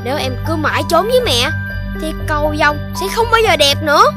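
A woman's voice: sits high, animated, a little fast. A woman voicing a kind, earnest little Dalmatian puppy boy in a children's cartoon. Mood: earnest, encouraging. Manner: earnest, thoughtful. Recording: children's cartoon music underneath.

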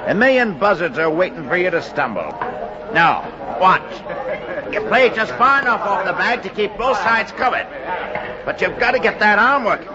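An actor playing a brisk, colloquial man in authority in a 1940s radio play. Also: high-pitched, expressive, crowd noise. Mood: commanding. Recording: noisy, muffled 1940s radio recording.

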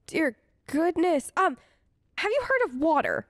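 A woman's voice: very high voice, very animated, unhurried, no echo, studio quality. A woman role-playing her game character in casual, improvised dialogue, chatty, upbeat and informal.

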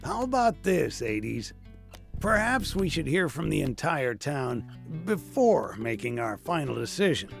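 Slow, creaky old village mayor. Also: male voice, a little high, sing-song, faint music behind, exaggerated voice. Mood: calm, fair-minded. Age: older. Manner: unhurried, reasoning, gently chiding. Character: kindly, deliberate old town mayor with quiet authority. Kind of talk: video game voice acting.

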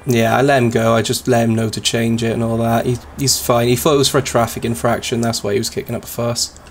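Slightly raspy man with a sore throat. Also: mid-range voice, flat delivery, quick pace, a little hiss or hum. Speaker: streamer playing a sheriff's deputy. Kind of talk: casual roleplay chat.

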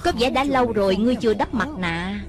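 A woman with a fairly high voice delivers a one-voice dub of an animated film. She reads the lines of every character while the soundtrack music plays clearly behind her. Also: fast talker. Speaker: voice-over dub narrator. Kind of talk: single-voice dubbing of an animated film, reading all characters' lines.

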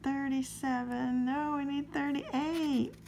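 High, slow-talking woman with scratchy throat. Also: vocal fry. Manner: friendly, calmly reads out numbers. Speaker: lottery ticket scratching vlogger. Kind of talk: casual to-camera chat while scratching tickets.

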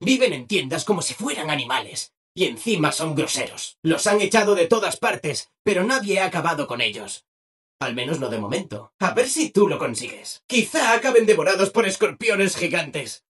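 A man's voice: high-pitched, big pitch swings, moderate pace, a bit bright, breathy edge, exaggerated voice. A male actor with a Castilian Spanish accent playing a relentlessly cheerful, over-obliging robot assistant in a video game, chirpy and eager to please. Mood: obliging, passive-aggressive. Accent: Castilian Spanish from Spain. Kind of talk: animated video game character acting.

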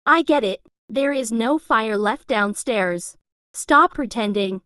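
A woman's voice: a little high, lively intonation, slow, bright, forceful tone, no room sound, clean studio sound, heavily acted. A woman voicing a bossy, practical young girl in a game roleplay, reading short lines plainly. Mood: impatient. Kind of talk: scripted game roleplay dialogue.

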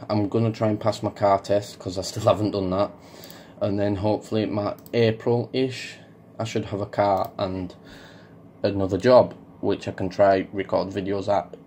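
A young man with a Yorkshire accent, croaky from being ill, giving a hesitant, rambling vlog update full of ums. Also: fairly low pitch, warm tone, slight background noise. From Yorkshire, England. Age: young adult. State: croaky from illness. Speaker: farming YouTuber.

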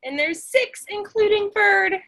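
High-pitched young woman reading to children. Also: measured pace, a little hiss or hum. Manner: expressive, questioning, addressing young children. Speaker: teacher reading aloud to children. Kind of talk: children's picture book read-aloud.

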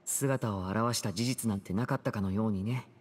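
A male actor playing a composed, protective teenage magician in an anime game, speaking smoothly and earnestly. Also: on the low side, normal speed, natural voice. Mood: calm, resolute. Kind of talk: Japanese anime game voice acting.

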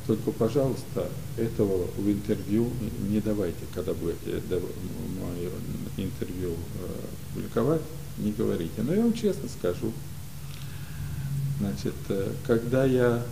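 An older man from Ukraine, telling stories as a guest in an interview, unhurried and full of pauses, recorded in a library studio. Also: on the low side, expressive, vocal fry, background noise. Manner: unhurried, many pauses and hesitations. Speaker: guest, community leader. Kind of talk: relaxed storytelling in an interview.

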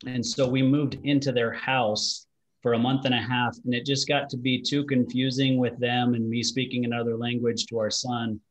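A man's voice: mid-range voice, little pitch movement, moderate pace, rough recording. A man in his forties from Utah, hosting a business interview in a friendly, conversational way over a video call from a home office. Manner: friendly, conversational, fillers like "you know". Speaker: podcast host.

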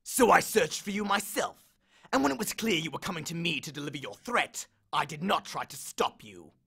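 A man's voice: high-pitched, big pitch swings, normal speed, vocal fry, sharp tone, husky, heavily acted. A man voicing an angry, aggrieved male god of the dead who bellows complaints and threats in an audiobook. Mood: menacing. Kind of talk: audiobook character voice.

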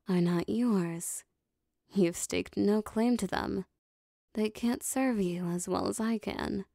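Woman acting a possessive, creaky-voiced demon woman. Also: medium pitch, warm tone, no room sound, studio quality. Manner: formal, deliberate, intimate phrasing. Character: powerful, possessive female demon devoted to her master. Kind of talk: ASMR roleplay monologue.

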